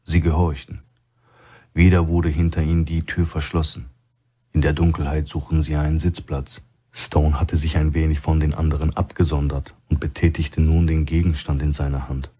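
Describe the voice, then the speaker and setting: Very deep, husky male narrator. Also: flat delivery, normal speed, mellow. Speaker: audiobook narrator. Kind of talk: scripted narration of a crime thriller.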